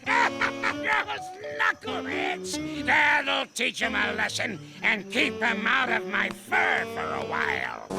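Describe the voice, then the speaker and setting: An actor voicing a grouchy, bossy old male ape in an animated cartoon, who scolds everyone in a gravelly voice. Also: extremely high pitch, heavily acted. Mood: gleeful. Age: over 60. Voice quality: gravelly, cackling. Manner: scolding, calls others knuckleheads. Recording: cartoon soundtrack with music behind.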